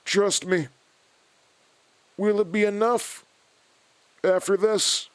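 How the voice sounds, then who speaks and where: Man playing a high-voiced, curt, commanding schemer. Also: steady tone, slow pace, smooth, no room sound. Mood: scornful, commanding. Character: blunt, impatient, commanding man bullying a pastor. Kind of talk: audio drama acting.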